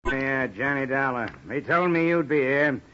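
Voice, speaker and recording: An actor playing a gruff, brusque police lieutenant in an old-time radio drama. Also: male voice, mid-range voice, normal speed, pushed and bright, a little hiss or hum, natural voice. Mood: gruff, impatient. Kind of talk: 1940s-50s radio acting. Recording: muffled old radio recording.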